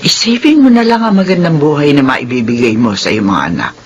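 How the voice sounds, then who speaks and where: A woman's voice: low-pitched, sing-song, brisk, muffled recording, slight background noise. An older woman playing a worried, protective elderly mother in a radio drama, speaking in an earnest, pleading way. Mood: tender. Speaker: mother of the heroine.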